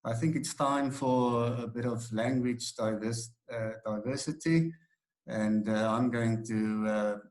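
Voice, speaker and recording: Man, Afrikaans first language, minister on a panel, friendly and halting. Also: medium pitch, a little mellow. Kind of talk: webinar talk. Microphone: video call.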